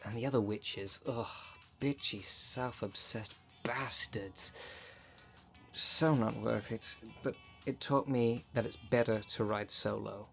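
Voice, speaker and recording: A man's voice: mid-range voice, fairly even intonation, slow pace, creaky, a bit bright, soft background music. A man playing a jaded, sardonic thirty-two-year-old witch in an ASMR roleplay, talking in a dry, sarcastic, conversational way. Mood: sardonic reminiscing. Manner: dry, sarcastic, sweary, sighing.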